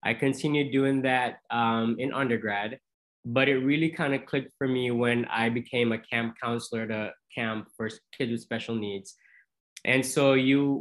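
A man in his thirties from Jamaica, a dentist answering interview questions in a measured, explanatory, conversational way. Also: medium pitch, a bit bright. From Jamaica, moved to the US as a teenager. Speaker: podcast guest, dentist.

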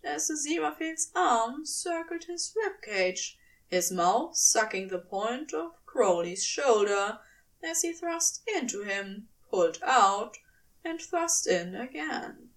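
A woman's voice: on the high side, big pitch swings, slow, distant mic. A woman reading scripted fan fiction as its narrator.

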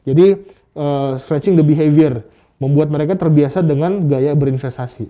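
A man's voice: a little high, moderate pace, muffled recording. A man, speaking as a guest expert in a casual interview, explaining through rhetorical questions and examples. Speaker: guest expert on stock market investing.

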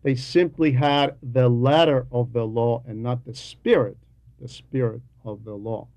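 A man delivering a teaching sermon in a deliberate, emphatic way, repeating key phrases for weight. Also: mid-range voice, steady tone, darkish tone, no room sound. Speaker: homilist reading a translated homily.

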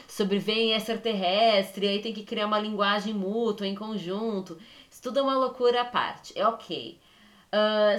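A woman's voice: fairly high pitch, a bit bright. A woman co-hosting a dance podcast, thinking aloud at length, often breaking off and correcting herself as she reasons. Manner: rambling, self-correcting, reflective. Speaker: podcast co-host.

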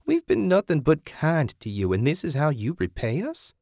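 A man voicing a menacing, sanctimonious, depraved woman in an audiobook, with a raspy, taunting delivery. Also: fairly high pitch, wildly swooping pitch, a little mellow, dry recording, clean studio sound, voice pushed up, exaggerated voice. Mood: scolding menace. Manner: taunting, sinister, drawn-out threats. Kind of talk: dramatic audiobook character voice.

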